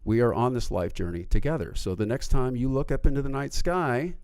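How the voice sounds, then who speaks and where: A man co-hosting a podcast, chatting casually and often agreeing with a quick "right". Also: medium pitch, darkish tone, clear, resonant tone, dry recording, clean studio sound. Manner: affirming, says "right" often, reads a quote aloud. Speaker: podcast co-host.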